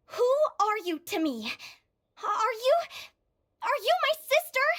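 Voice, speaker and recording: A woman playing a determined, earnest young fairy girl in an anime-style video game, with an expressive, eager delivery. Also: extremely high pitch, slow, heavily acted. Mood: pleading, insistent. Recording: game music behind the voice.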